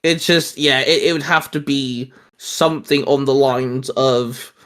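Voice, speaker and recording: A man chatting casually as a host pitching geeky ideas, rambling and restarting his sentences as he goes. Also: fairly even intonation, unhurried, fairly bright tone. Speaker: host of a comics discussion show.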